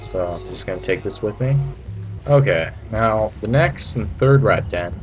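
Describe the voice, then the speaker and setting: A man's voice: fairly low pitch, expressive, normal speed, dull audio, soft background music. A man giving a casual, playful video game walkthrough in an informal, rambling way. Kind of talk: casual gameplay commentary. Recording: noisy amateur home recording.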